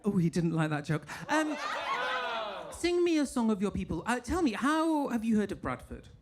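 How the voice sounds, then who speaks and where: A man from England with a northern English accent doing a stand-up set in a comedy club, mock-posh and deadpan with sudden high squeals. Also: moderate pace. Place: comedy club stage with a laughing audience. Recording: live room with crowd noise. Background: audience laughter and applause.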